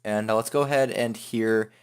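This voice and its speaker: A man hosting a software tutorial in an informal, joking, self-deprecating way. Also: lowish voice, steady tone, moderate pace, smooth. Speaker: tutorial host. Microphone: headset microphone.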